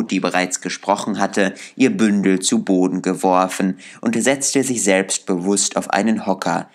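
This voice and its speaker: A male narrator reads an audiobook in an unhurried, deliberate way. His voice sits low, and he takes each phrase carefully. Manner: measured, deliberate storytelling. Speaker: audiobook reader. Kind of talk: scripted narration.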